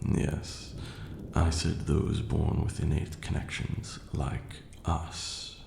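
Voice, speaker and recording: Ancient male vampire lord, acted by a man for ASMR roleplay: very low, heavy fry, formal, condescending yet tender mentor. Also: darkish tone, exaggerated voice. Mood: amused, patient. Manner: measured, formal archaic diction, condescending yet doting. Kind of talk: slow intimate ASMR roleplay acting. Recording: roomy echo, faint background noise.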